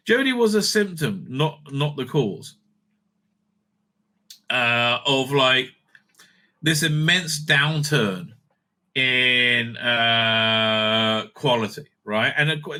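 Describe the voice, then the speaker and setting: A middle-aged man giving casual, rambling opinionated commentary as a show host, emphatic and halting, often repeating himself and checking his points. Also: mid-range voice, pushed and bright.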